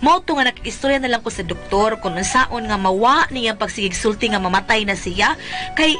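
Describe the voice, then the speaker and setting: A fed-up, nagging grown daughter with a Filipino Bisaya accent. Her voice is fairly high and scolding, in comedic radio-drama style. Faint music plays behind her. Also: lively intonation, fairly quick, pushed and bright. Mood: weary, complaining. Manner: exasperated, scolding. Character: exasperated, nagging adult daughter of a fretful old father.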